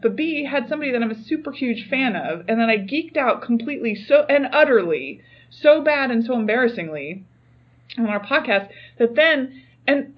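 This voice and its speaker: A woman hosting and editing a podcast, chatting in a gushing, excitable way with many fillers. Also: high-pitched, dull audio. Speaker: podcast host and editor. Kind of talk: casual chat.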